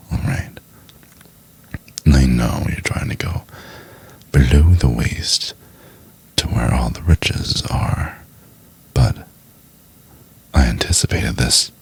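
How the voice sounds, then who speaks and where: A man playing a doting, teasing boyfriend in an intimate ASMR roleplay, murmuring in a husky, halting half-whisper. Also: rumbling low, exaggerated voice. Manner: slow, halting, murmured delivery with pauses. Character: doting, teasing boyfriend trying to study for exam.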